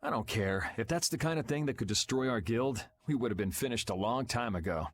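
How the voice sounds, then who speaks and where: An actor playing a casual, self-assured young adventurer in an anime video game dub. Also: male voice, medium pitch, animated, a little fast, a little hiss or hum, close to an everyday voice. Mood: cool, firm. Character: cool, unbothered young male adventurer. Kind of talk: English-dubbed anime video game acting.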